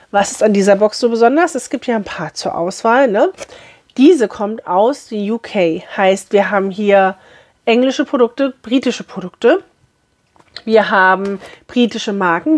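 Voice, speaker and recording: A woman in her thirties with a hoarse voice, chatting cheerfully to viewers as she unboxes a beauty box in a small room. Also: on the high side.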